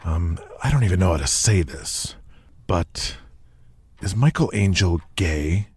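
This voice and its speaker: A man voicing a worried, calculating wrestling company boss in an audio drama, hesitant, hushed and grave. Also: low voice, expressive, unhurried, husky, dry recording, voice pushed down, exaggerated voice. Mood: uneasy, hesitant. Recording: music behind the voice.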